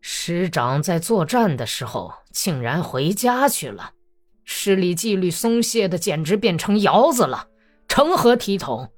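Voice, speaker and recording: A woman's voice: low voice, big pitch swings, measured pace, few pauses, exaggerated voice. A woman acting out an irate, domineering old male general in an audiobook, imperious and scolding, with music behind. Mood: stern, angry. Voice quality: hoarse when angry.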